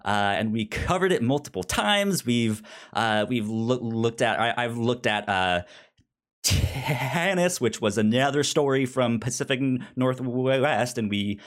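A man's voice: medium pitch, lively intonation. A man hosting a podcast in a casual interview style, often stumbling and repeating words as he talks. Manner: halting, stammering over words. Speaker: podcast host.

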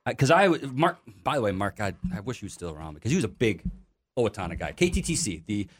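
A man's voice: on the low side, very animated, fast talker, breathy edge. An American man hosting a casual podcast chat, eagerly jumping in with agreement. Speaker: podcast host.

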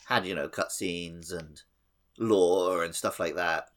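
A man co-hosts a casual gaming podcast. His voice sits a little low, and he talks in a conversational way. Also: takes it slowly, a bit bright. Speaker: podcast host.

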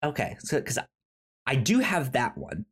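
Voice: mid-range voice, wildly swooping pitch, normal speed, slightly rough. A podcast co-host chatting casually about film, often stammering and repeating syllables and words. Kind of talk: casual film review chat.

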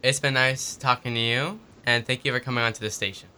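A man's voice: mid-range voice, steady tone, fairly quick, bright, forceful tone, a little hiss or hum. A man hosting a radio interview, asking short questions and giving brief prompts.

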